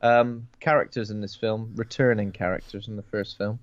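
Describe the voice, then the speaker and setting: A man's voice: lowish voice, steady tone, moderate pace, no room sound. Sniffly man, dull dry mic. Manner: jokey, laughs often, repeats words. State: sniffly with a cold. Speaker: podcast co-host. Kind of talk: casual film podcast chat.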